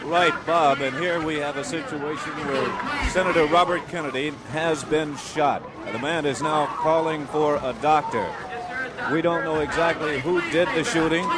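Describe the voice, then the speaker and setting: A man's voice: fairly high pitch, heavy background noise. Male live reporter amid a roaring crowd. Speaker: broadcast news reporter. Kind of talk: live breaking news report. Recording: archival broadcast amid very loud crowd. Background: loud crowd commotion.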